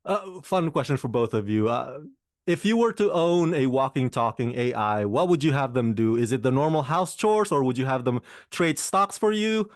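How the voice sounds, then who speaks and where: A man interviewing actors about a film, eager and quick to laugh. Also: medium pitch, animated, no echo, clean studio sound. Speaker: film interviewer, channel host. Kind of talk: enthusiastic interview questions.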